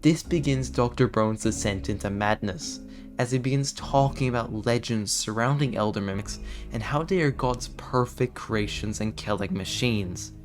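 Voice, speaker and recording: A man giving scripted commentary on a horror series in an emphatic, dramatic storytelling way. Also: medium pitch. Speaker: video commentator. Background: background music.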